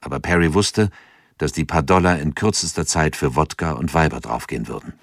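A man narrating a radio drama in a measured, grave tone. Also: a bass voice, gravelly. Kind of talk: scripted narration.

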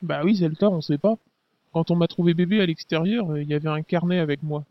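Man playing a brash young resistance fighter, fast. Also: fairly high pitch, steady tone, no room sound, lo-fi sound, natural voice. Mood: casual. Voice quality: casual slang, hesitant fillers.

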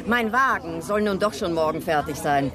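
A cool, businesslike wife in a radio drama, played by a woman. She talks briskly and pointedly, with a bitter edge under the matter-of-fact delivery. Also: lowish voice, expressive, a crowd in the background, lightly acted. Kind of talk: radio play acting.

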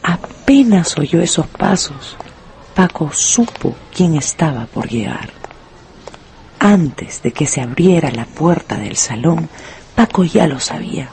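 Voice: medium pitch, expressive, slow pace, creaky, a little mellow, a little hiss or hum. A narrator delivering a scripted, dramatic story for a radio play.

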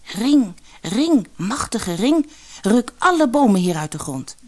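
A woman's voice: on the high side, big pitch swings, slow pace, darkish tone, pitched above the performer's own voice, exaggerated voice. A woman voicing an eager, commanding young boy in a storybook tale. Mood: commanding, incantatory. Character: young village boy, hopeful, commanding a magic ring. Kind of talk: storybook character voice.

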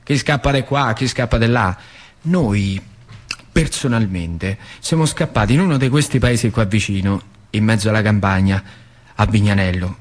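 A man's voice: fairly low pitch, moderate pace, close to an everyday voice. An actor playing an old factory worker recalling his boyhood in a colloquial theatrical monologue, with a rural central Italian accent from northern Lazio. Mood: reflective. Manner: colloquial, vivid, swinging between hushed and cried-out delivery. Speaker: stage actor performing a monologue. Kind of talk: theatrical storytelling monologue for radio.

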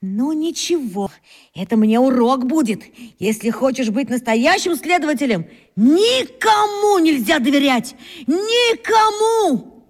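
A woman playing an excitable, suspicious schoolboy detective in a children's radio play, exclaiming and thinking aloud dramatically. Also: sits high, measured pace. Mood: amazed, indignant.

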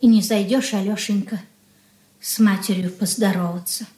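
An actress playing an anxious, meek middle-aged mother in a radio drama, with plaintive, gentle, folksy speech. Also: medium pitch, steady tone, moderate pace, slight background noise, natural voice. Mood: plaintive worry. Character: anxious, meek, pious, lamenting mother of grown son. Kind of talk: Russian radio drama acting.

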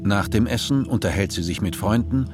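A man narrating a radio drama in an even, measured storytelling voice. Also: on the low side, over music. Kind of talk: scripted narration.

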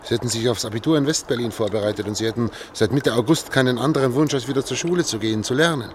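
A man's voice: mid-range voice, rapid-fire, natural voice. An actor playing an urgent, pleading engineer and family man who guides an escape in a German radio play, formal and insistent. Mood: reproachful. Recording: noisy radio play with watery ambience.

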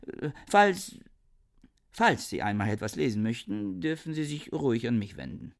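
Scholar, reclusive, disdainful, gravelly, husky, lively pitch, radio play. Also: male voice, moderate pace. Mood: stiff. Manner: stiff, disdainful, swings to agitated outbursts. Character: bookish reclusive male scholar, irritable, scornful.

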